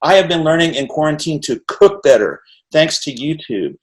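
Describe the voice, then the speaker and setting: A middle-aged man hosting an interview over a video call, talking in an energetic, rambling way and often tagging on "right?". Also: fairly high pitch, moderate pace. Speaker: interview host. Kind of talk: animated casual conversation.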